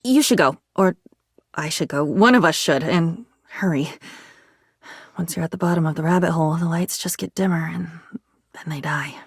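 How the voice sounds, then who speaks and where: A woman's voice: low voice, normal speed, breathy edge, no echo, studio quality. A woman voicing a video game character, an unstable living diary, lonely and frantic, with a theatrical, erratic delivery. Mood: self-blaming, despairing, then ominous, fearful. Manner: theatrical, erratic, swinging between whispers and cries.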